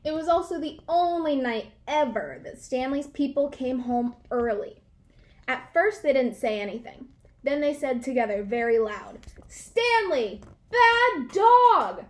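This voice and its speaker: Female children's read-aloud narrator: high pitch, expressive storytelling, deliberate emphasis.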